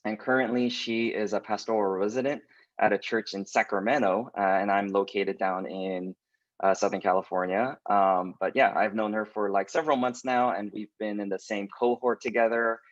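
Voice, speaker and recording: A lower-voiced man hosting a church webinar over a video call. He talks casually and hesitantly, with plenty of "like" and "um". The audio is dry and a bit dull and lo-fi. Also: steady tone, moderate pace, dry recording. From United States. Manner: hesitant, many fillers and "like".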